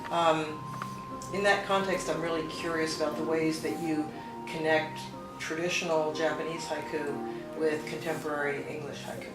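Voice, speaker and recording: Polite interviewer asking measured questions. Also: mid-range voice, little pitch movement. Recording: roomy echo, distant mic, music.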